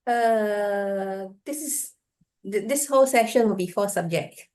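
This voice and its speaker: A woman's voice: medium pitch, slow pace, a little mellow. A woman speaking as a student in casual question and answer, repeating her words as she goes.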